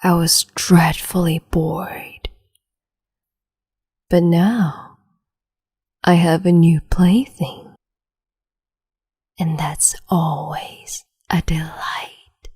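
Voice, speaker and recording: A woman's voice: lowish voice, little pitch movement, extremely slow, pauses often, exaggerated voice. Woman playing a whispering cruel empress. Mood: cruel, sweet. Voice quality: breathy whisper. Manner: soft whispering, sweetly menacing and condescending. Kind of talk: ASMR roleplay.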